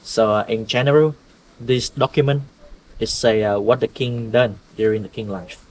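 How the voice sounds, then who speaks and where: A man's voice: medium pitch, steady tone, moderate pace, darkish tone, low-quality recording. A man with a Vietnamese accent, a native Vietnamese speaker, explaining things to visitors as a tour guide in simple, broken English, joking and chuckling often. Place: outdoors at ancient temple ruins.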